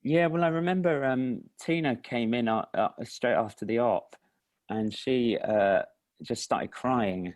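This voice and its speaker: A man with an Estuary English accent, talking as an indie filmmaker guest in a relaxed, self-deprecating interview chat. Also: medium pitch, a little slow, no echo. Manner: relaxed, self-deprecating, with frequent restarts and laughs.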